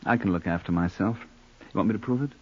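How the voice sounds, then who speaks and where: Hired gunman, deep husky voice, smug, menacing, mockingly polite, unhurried, old radio drama, dull lo-fi sound. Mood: smug, cool. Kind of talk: 1940s radio acting.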